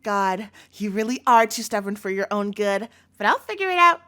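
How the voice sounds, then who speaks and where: A woman's voice: medium pitch, very animated, moderate pace, bright, forceful tone. A woman playing an obsessive, doting captor girlfriend in a scripted audio roleplay, speaking directly to the listener in a cutesy tone with menace in it. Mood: angry, threatening.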